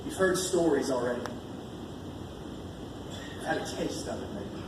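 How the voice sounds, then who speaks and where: A man's voice: medium pitch, unhurried. An American man preaching an informal sermon in a colloquial, emphatic way, repeating phrases to drive them home. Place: large echoey room at a live church gathering. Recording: echoey room from far off, noisy.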